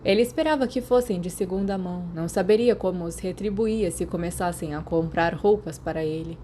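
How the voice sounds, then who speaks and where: Brazilian woman narrating over clear background noise. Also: lowish voice, brisk, mellow. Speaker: podfic narrator. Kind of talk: scripted audiobook narration of fan fiction.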